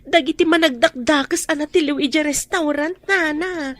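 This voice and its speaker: A woman's voice: high-pitched, normal speed, no room sound, close to an everyday voice. A woman with a Filipino accent playing an earnest young daughter in a radio drama. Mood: hesitant.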